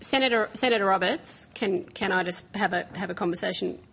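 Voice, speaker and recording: A woman chairing a hearing, conciliatory and halting, often repeating words. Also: medium pitch, creaky, fairly bright tone, slight background noise, low-quality recording. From Australia. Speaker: committee chair. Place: parliamentary committee room.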